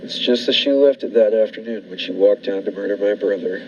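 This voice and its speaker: A man playing a sinister, falsely solicitous captor in a 1940s-style radio thriller, insinuating and unhurried. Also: lowish voice, natural voice. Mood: insinuating menace. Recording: dull lo-fi recording, faint noise.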